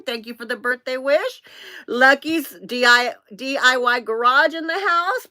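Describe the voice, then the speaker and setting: A woman of 57, hosting a casual live stream in an excitable, scattered, bubbly way and chatting to viewers. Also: high-pitched. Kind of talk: casual chat, greeting viewers by name.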